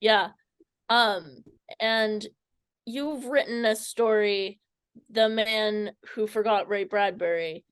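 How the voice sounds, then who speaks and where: Slow, measured woman interviewer. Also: mid-range voice, smooth, no room sound. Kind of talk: conversational interview questions.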